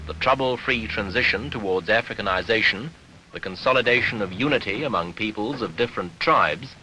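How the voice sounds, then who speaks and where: A man narrating a 1970s documentary newsreel in a formal, measured, authoritative way, on an archival soundtrack. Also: on the low side, bright, forceful tone, slight background noise, low-quality recording.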